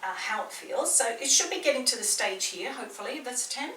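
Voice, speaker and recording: A woman's voice: on the high side, expressive, a little fast, pushed and bright, slight background noise. Chatty trainer woman, slight Kiwi accent. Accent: slight New Zealand. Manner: chatty, encouraging, talks a lot. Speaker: personal trainer. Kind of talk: guided workout instruction. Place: small indoor room. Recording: roomy echo, distant mic, faint ambience.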